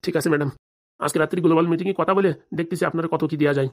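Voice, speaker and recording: Woman playing low, rapid-talking male schemer. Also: fairly even intonation, a little mellow, close to an everyday voice. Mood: bargaining. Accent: rural Bengali. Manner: persuasive, boastful. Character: smooth-talking scheming male election fixer. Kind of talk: comedy cartoon dialogue.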